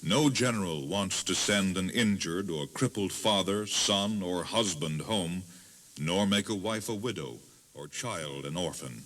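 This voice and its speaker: A man giving solemn, measured, dramatic scripted narration as a tour guide. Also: low-pitched, animated, fairly bright tone.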